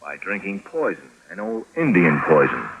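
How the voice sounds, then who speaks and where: An actor playing a cynical, cultured nephew in a 1950s radio drama, speaking in a dry, formal, worldly way. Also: male voice, sits low, sing-song, normal speed, highs cut off. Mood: somber. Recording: noisy vintage 1950s radio recording.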